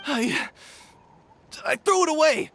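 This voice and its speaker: A woman's voice: high-pitched, lively intonation, slow, a bit bright, a little hiss or hum, heavily acted. A woman voicing a scrappy, cowardly young male thief in a video game, melodramatic and whiny. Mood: whining, defensive.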